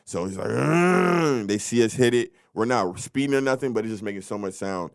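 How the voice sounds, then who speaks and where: A young man co-hosting a podcast, telling stories in a loose, animated way and acting out what people said. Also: mid-range voice, a little fast, creaky, dry recording, studio quality. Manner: animated storyteller, acts out quotes. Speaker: podcast co-host. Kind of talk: casual storytelling chat.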